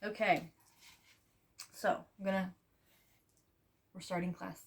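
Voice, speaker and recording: A woman's voice: medium pitch, little pitch movement, slow pace, gravelly, slight background noise. A young woman from Russia, whose first language is Russian, casually explains a reading lesson plan to the camera. She talks in a chatty, tired way, with many ums and restarts. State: tired, low on energy. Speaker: student teacher presenting a reading lesson.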